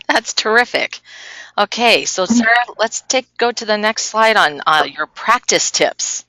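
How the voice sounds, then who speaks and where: A woman's voice: mid-range voice, animated, measured pace, pushed and bright. A woman acts as webinar host, moderating with questions and prompts for a guest. Her voice comes through dry, without any room echo.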